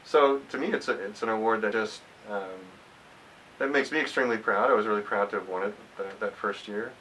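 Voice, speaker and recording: A man in his fifties from Texas speaks in a low voice with a bright, firm edge. He answers interview questions reflectively, often pausing and restarting a phrase. The microphone is some way off, with a hiss underneath. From United States, grew up in Texas. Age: 50s. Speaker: alumni interviewee, former college athlete. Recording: hissy, distant microphone.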